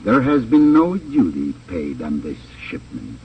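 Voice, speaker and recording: An actor playing a smug, suavely menacing crime boss with a pompous, precise delivery in a 1950s radio drama. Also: male voice, sits low, wildly swooping pitch, a little slow, exaggerated voice. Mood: smooth, persuasive. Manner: pompous, over-precise, relishing his words. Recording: dull, noisy old radio transcription.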